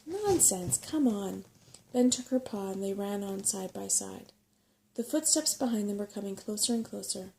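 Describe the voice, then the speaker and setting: A woman narrating a bedtime story in a soft-spoken ASMR reading style. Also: medium pitch, normal speed, creaky, slight background noise, low-quality recording.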